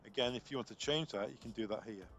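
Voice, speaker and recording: A man's voice: lowish voice, fast talker, a bit bright. Man giving step-by-step tutorial, faint music behind. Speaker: product trainer demonstrating intercom software. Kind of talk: unscripted step-by-step software tutorial.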